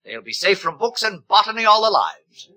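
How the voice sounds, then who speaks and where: Pompous, piercing, high-pitched director. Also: male voice, big pitch swings, normal speed, pushed and bright, heavily acted, low-quality recording. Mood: smugly lecturing. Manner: commanding, pompous, lecturing. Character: brisk, smug authoritarian director lecturing students. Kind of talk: 1950s radio drama acting.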